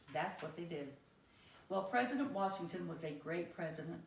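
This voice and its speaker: An American woman telling a story to young children in a simple, deliberate teacherly way, with questions to her listeners. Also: medium pitch, darkish tone. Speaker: children's teacher reading aloud. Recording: roomy echo, distant microphone.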